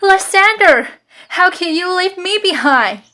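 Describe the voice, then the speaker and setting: A woman's voice: extremely high pitch, expressive, measured pace, pushed and bright, slight background noise, exaggerated voice. A woman playing a distraught, pleading young Athenian woman jilted by her lover in an amateur radio drama. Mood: upset, pleading.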